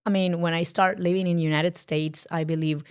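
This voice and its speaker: A young Colombian woman speaking English with a Colombian Spanish accent, talking in a halting way with many fillers as she searches for words in a casual interview. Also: fairly low pitch, level tone, clean tone. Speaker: podcast guest, filmmaker.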